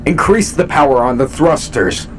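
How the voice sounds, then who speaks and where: A man's voice: mid-range voice, animated, moderate pace, music in the background, natural voice. A man playing an authoritative, protective robot commander in an audio drama, controlled in delivery. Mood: commanding.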